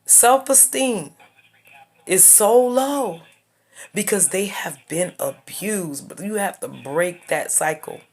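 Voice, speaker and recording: A woman's voice: mid-range voice, slow pace, slightly rough. A woman in her thirties talking straight to her viewers in a casual advice video, emphatic and preachy, repeating her points. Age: 30s. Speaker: YouTuber giving life advice.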